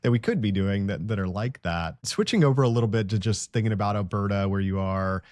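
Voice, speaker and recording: Male podcast host, low voice, dry audio, asks long questions. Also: animated, fast talker, darkish tone, dry recording. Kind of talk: podcast interview.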